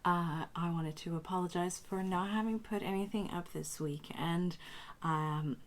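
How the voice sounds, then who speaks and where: Female tarot host, slightly low register, voice strained from a recent cold, hesitant phrasing, some background noise. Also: steady tone, a little slow. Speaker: tarot reader and channel host. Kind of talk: casual spiritual talk to camera.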